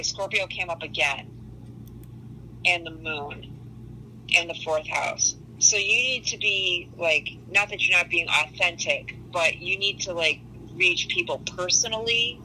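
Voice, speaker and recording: Bright, sharp-edged, blunt woman astrologer. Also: lowish voice, slight background noise, low-quality recording. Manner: blunt, matter-of-fact. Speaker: astrologer giving a reading. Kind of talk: casual one-on-one astrology reading.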